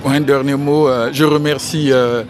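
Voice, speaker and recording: A man from the Republic of the Congo giving an outdoor press interview, speaking in a measured way and repeating key phrases. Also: mid-range voice, steady tone. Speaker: interviewee, financial engineering expert. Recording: crowd noise behind.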